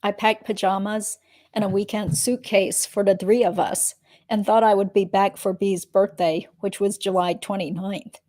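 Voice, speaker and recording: A guarded female witness with a mid-pitched voice, read by a woman. She answers deposition questions in short, measured statements. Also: flat delivery, darkish tone, natural voice. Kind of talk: dramatic reading of a deposition transcript.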